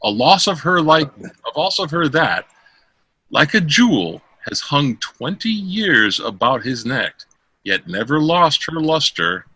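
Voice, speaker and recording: A man reading Shakespeare verse drama aloud as an amateur, in a theatrical, declaiming manner with a husky voice. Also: fairly high pitch, very animated, unhurried, sharp tone, dry recording. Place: close dry room, online call.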